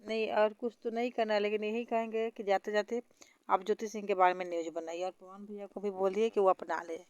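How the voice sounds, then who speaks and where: A woman's voice: mid-range voice, flat delivery, brisk, a little mellow, clean tone, no echo, highs cut off. A woman, calling as a fan on a recorded phone conversation, speaking in an earnest, pleading and repetitive way. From Bihar, India. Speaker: caller, fan.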